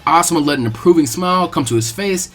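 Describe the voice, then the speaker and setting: A man reading fan fiction aloud as narrator, in an expressive storytelling style. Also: fairly high pitch, quick pace, faint music behind.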